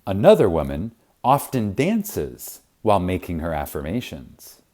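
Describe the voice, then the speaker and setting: A man narrating a spiritual audiobook in a reverent, emphatic manner, with deliberate pauses. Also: low-pitched, huge pitch swings, dark tone. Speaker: audiobook reader. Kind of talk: scripted narration of a spiritual self-help audiobook.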